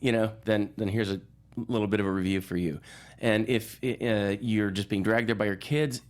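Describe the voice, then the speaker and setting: Male guest critic, lowish voice, conversational, works out opinions aloud with repeated phrases. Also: fairly even intonation, normal speed. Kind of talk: casual movie talk.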